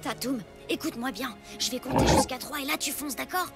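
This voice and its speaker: A spirited little prehistoric boy in a cartoon. The voice is very high and animated, with emphatic, excitable delivery. Loud music runs behind it. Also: fairly quick, gravelly. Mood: sad, resolute. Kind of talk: French animated cartoon dub acting.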